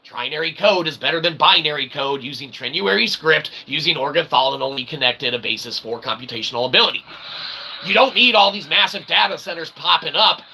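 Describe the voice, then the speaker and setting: A man's voice: a little high, a little fast, gravelly, sharp tone, a little hiss or hum, rough recording. A man delivering a rambling philosophical monologue as a streamer, in wordy, pseudo-academic phrasing, emphatic and punctuated with check-in okays and the odd swear. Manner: verbose, pseudo-academic wording with tag okays and occasional swearing. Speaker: streamer, self-styled author and philosopher.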